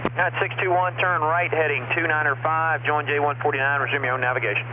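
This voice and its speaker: Male departure controller, fairly high voice, brisk clipped calls, tinny hissy radio. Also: little pitch movement. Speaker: air traffic departure controller. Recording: tinny radio channel with static hiss.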